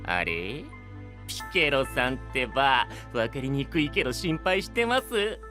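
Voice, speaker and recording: A man's voice: mid-range voice, expressive, unhurried, bright, forceful tone. A man playing a cheeky young knight in an anime-style voice drama, teasing others in polite phrasing. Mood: teasing, amused. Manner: polite wording with playful jibes. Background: background music.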